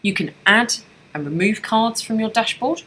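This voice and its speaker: A woman narrating a scripted software tutorial as a trainer, explaining things step by step in an instructional way. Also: fairly high pitch, animated, normal speed, breathy edge.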